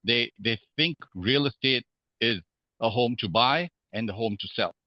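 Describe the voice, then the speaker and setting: A man from Hong Kong, a podcast guest, speaking in a measured way with many fillers and restarts. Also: mid-range voice, no room sound, dull audio. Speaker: guest real estate investor. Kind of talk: podcast interview.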